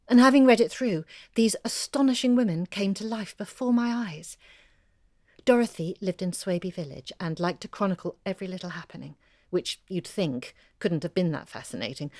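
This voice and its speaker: A woman's voice: mid-range voice, a little mellow, dry recording, studio quality. An actress playing a bookish woman writer who eagerly recounts her research in a confiding, articulate way, as intimate audio drama storytelling.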